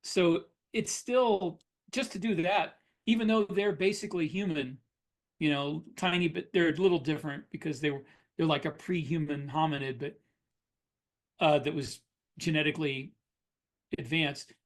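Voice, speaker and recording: A man's voice: a little high, fairly even intonation, moderate pace. A man who co-hosts a podcast and writes fiction, speaking in a casual, conversational way about his craft. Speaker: podcast host and science fiction author. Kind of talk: casual discussion of writing craft.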